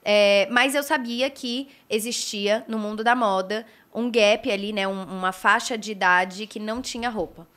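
A woman's voice: mid-range voice, steady tone, moderate pace, hardly any pauses, a bit bright. A young woman with a Brazilian accent and a pulled Brasília r, telling stories casually and informally as an interview guest. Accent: Brazilian, with strong Brasília r. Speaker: guest, fashion brand founder. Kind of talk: casual conversational storytelling.

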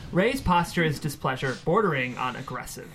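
A man reading scripted screenplay narration and stage directions in a measured way. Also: on the high side, lively intonation, fairly bright tone, slight background noise.

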